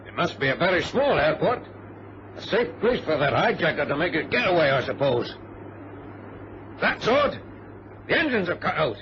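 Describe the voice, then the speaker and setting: An actor playing a brisk, precise ex-colonel who tells his adventure in a formal radio-drama style. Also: fairly high pitch, sing-song. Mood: anxious. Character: brisk ex-colonel plane passenger, an orderly organizer. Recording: noisy muffled vintage radio drama recording.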